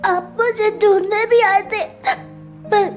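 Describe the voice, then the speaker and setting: A performer voicing an apologetic young boy in an audio horror drama, pleading haltingly like a child and devoted to his mother. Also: very high-pitched, fairly even intonation, faint music behind. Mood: tearful, apologetic.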